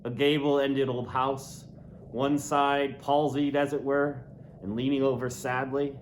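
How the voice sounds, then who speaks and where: A man reading a classic novel aloud in a deliberate, dramatic way, lingering on words. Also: mid-range voice, flat delivery, a little hiss or hum. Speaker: audiobook reader. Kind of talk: literary narration, theatrical reading of a classic novel.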